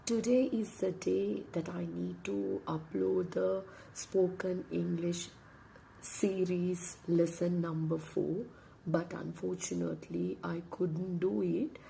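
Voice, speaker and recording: A woman whose first language is Tamil, an English instructor with a sore throat and a nearly lost voice, talking late at night in a hushed, breathy half-whisper and reading aloud from a book. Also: fairly low pitch, takes it slowly, a little hiss or hum, poor audio.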